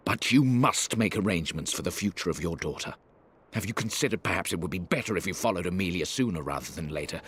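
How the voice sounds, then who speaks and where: A man's voice: sits low, a bit bright, husky, full character voice. An actor playing an embittered, vengeful middle-aged male relative in a video game, reading a letter with formal, biting contempt. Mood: cold contempt. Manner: biting, formal, deliberate scorn.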